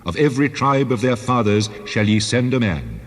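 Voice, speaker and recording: A husky divine voice rings out in long cavernous reverb. This is God in a scripture drama, stern and solemn, delivering commands with measured authority and wide swings of pitch. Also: male voice, very animated. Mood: commanding.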